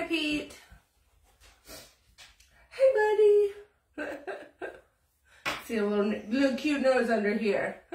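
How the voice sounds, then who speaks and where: A woman's voice: fairly high pitch, far from the mic. A middle-aged woman hosting a casual live painting tutorial from her small home craft room, chatty and rambling, laughing easily and a bit sniffly, with the phone camera often out of her reach. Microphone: phone camera above the table, often out of reach. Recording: echoey room, voice off-mic.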